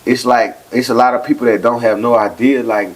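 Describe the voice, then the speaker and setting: A man's voice: medium pitch, fairly even intonation, a little fast, breathy edge, rough recording. A man in his thirties from New Orleans, talking candidly and loosely as a guest in a casual interview. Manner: laid-back, candid, rambling with repeated "like". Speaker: guest rapper.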